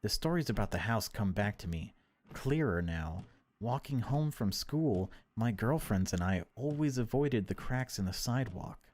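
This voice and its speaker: A man narrating a horror visual novel in a measured, unhurried way, with casual asides as a gaming video host. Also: on the low side, expressive, darkish tone.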